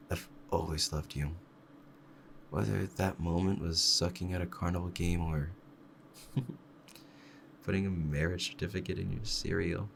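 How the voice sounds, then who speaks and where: A man playing a devoted, clingy yandere fox-spirit husband in an intimate ASMR roleplay, speaking in a soft, tender bedtime murmur. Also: deep voice, vocal fry, slight background noise.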